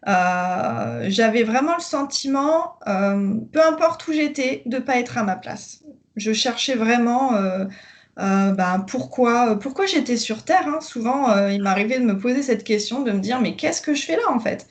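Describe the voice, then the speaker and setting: French woman in her 30s, kinda high voice, lots of euh and restarts, talking over a video call. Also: animated, unhurried. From northern France. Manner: hesitant, many fillers and restarts. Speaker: coaching client giving a testimonial. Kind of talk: conversational interview.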